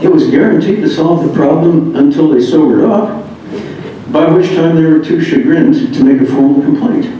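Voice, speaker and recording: A man's voice: a little high, normal speed. An American man reading humorous first-person anecdotes aloud in an amused manner. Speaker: reader of another writer's memoir. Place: echoey indoor room. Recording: echoey room, distant mic, dull, faint noise.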